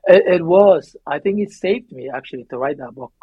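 A very husky, raspy man with a Somali accent speaks as a guest in a reflective interview. He is earnest and emphatic, circling back over phrases for weight. The recording is dry and somewhat dull. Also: fairly high pitch, expressive, a little fast, no echo. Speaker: interview guest, memoir author.

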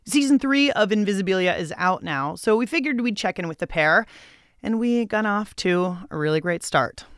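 A woman's voice: mid-range voice, expressive, normal speed, clean tone, no echo, clean studio sound. A woman hosting a radio show in a chatty, casual way, using slang and talking straight to listeners.

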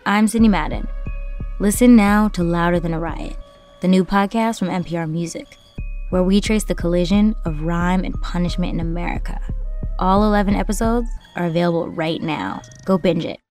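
A woman's voice: lowish voice, moderate pace, darkish tone, soft background music. A woman reading a scripted podcast promo in a punchy, emphatic way.

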